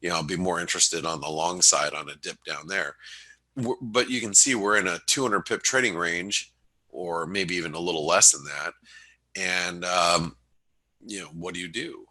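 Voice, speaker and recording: Stuffy-nosed man, low husky voice. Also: pushed and bright. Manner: halting, many restarts and fillers. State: nasal from a cold, sniffly. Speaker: webinar host giving market analysis. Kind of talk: casual trading commentary.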